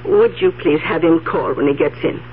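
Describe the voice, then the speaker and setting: An actress playing an anxious, indignant elderly aunt in a radio drama, halting and repeating her words as she goes. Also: sits low, close to an everyday voice. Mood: polite. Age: older. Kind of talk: 1970s radio drama acting. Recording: muffled, faintly hissy vintage radio recording.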